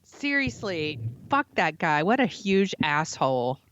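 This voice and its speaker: Low-pitched woman podcast co-host, blunt and informal, on a slightly muffled mic. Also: big pitch swings, a little slow, a bit bright, slight background noise. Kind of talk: casual reactive chat.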